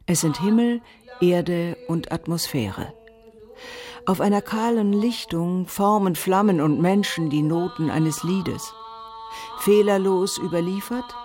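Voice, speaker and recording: A woman narrating a literary radio drama in a solemn, measured way, with music behind her. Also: on the low side, darkish tone. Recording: music under the voice.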